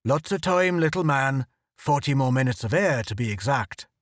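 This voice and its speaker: A man's voice: mid-range voice, expressive, moderate pace, dry recording, clean studio sound, natural voice. A man voicing a big, brash Irish adventurer with an Irish accent and a swaggering, joking manner. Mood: gruff. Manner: bluff, swaggering, jokey.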